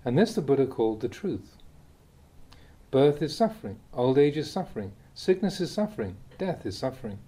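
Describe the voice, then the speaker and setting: Guy reading dhamma talk audiobook style, warm relaxed voice, pitch moves around a lot, deliberate phrasing. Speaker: reader of Buddhist teachings.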